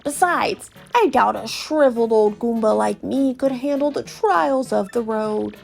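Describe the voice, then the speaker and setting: A woman's voice: on the high side, a little slow, gravelly, soft background music, voice pushed down. A woman voicing a shriveled old male Goomba professor from a video game, explaining things in a fussy, cautious way. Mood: earnest, advising. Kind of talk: video game character read aloud.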